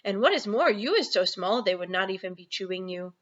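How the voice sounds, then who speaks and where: A woman's voice: medium pitch, expressive, brisk, fairly bright tone, muffled recording, close to an everyday voice. A woman voicing a friendly, boastful storybook giant who talks in mangled grammar and invented comic words. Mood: knowing, gleeful.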